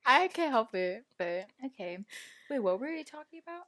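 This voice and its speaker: A young woman chats as a podcast co-host with a higher voice that leaps around a lot. She's bubbly and laughs loudly. Her delivery is loose and casual. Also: sing-song, moderate pace. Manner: bubbly, with a loud, distinctive laugh. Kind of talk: casual chat.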